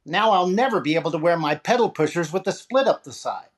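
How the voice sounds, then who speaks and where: A man's voice: sits high, animated, fast talker. A man playing a vain, self-pitying comedian who wisecracks in a plaintive, wry way, in 1940s radio comedy style. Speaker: actor in a radio comedy recreation.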